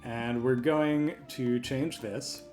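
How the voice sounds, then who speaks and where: A man hosting a software tutorial, talking through each step in an informal, explanatory way. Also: medium pitch, fairly even intonation, takes it slowly, faint music behind. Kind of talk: step-by-step screen tutorial narration, conversational. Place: voice-over for a screen recording.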